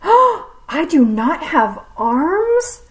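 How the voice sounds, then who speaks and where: A woman voicing an eager, excitable storybook snake who pleads and exclaims. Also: very high voice, extremely slow, pitched above the performer's own voice, full character voice. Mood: shocked, giggly.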